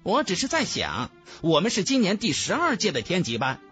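Man acting a highly animated, sharp-tongued young woman student. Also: normal speed, faint music behind, full character voice. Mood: sly.

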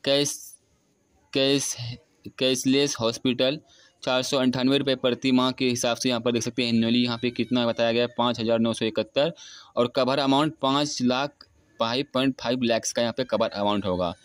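A man's voice: medium pitch, flat delivery, normal speed, smooth, no room sound. A young man of about twenty, walking through a phone app step by step in an unscripted tutorial. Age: young adult. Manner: step-by-step, repetitive narration. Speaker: tutorial host. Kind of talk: app screen-recording walkthrough.

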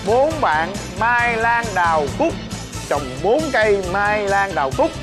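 Playful man hosting over loud music. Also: sits high, lively intonation, measured pace. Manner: playful, teasing, reads tasks aloud. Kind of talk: comic game-show banter. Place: indoors in a large shop or showroom. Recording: music bed under the speech. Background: loud background music.

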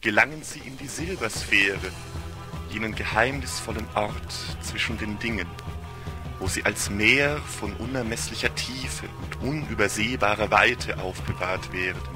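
A man's voice: lowish voice, a little slow, over music. A man narrating a literary audio story in a solemn, ornate fairy-tale storytelling manner.

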